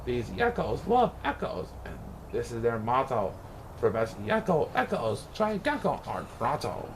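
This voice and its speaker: A man reading a rhyming children's story aloud in an expressive, playful, sing-song way. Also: medium pitch, unhurried, a little hiss or hum. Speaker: picture book reader.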